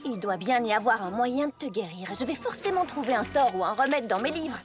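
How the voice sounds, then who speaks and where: Actress playing young female pony, high pitch, earnest, impatient, French cartoon dub, backing music. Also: animated, brisk, natural voice. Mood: exasperated. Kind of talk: French animated series dub. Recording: music behind the voice.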